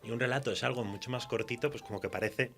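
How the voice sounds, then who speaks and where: A man's voice: mid-range voice, quick pace, fairly bright tone, faint music behind. A man with a Castilian Spanish accent chatting candidly and colloquially as a writer being interviewed on radio. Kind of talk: casual conversational radio interview.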